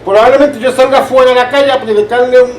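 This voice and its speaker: High-voiced Caribbean Spanish man, distant mic. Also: steady tone, quick pace. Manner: conversational, checks listeners understand. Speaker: Bible school teacher. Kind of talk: informal church teaching with anecdotes. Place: church room. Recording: distant roomy mic, background noise.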